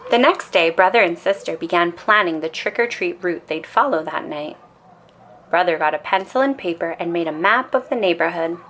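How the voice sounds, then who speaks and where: A woman reading a children's story aloud in an expressive bedtime storytelling style. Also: fairly low pitch, normal speed, a little hiss or hum.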